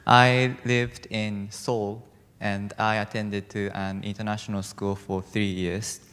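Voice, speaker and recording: A man's voice: lowish voice, flat delivery, slow, a bit bright. A teenage boy introducing himself as a student panelist, casual and restarting often. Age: teens. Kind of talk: prepared self-introduction. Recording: echoey hall.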